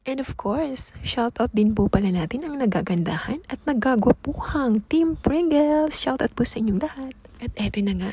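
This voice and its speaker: Young filipina vlogger, super breathy soft voice, half whispering her voiceover. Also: mid-range voice. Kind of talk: voice-over travel and food vlog.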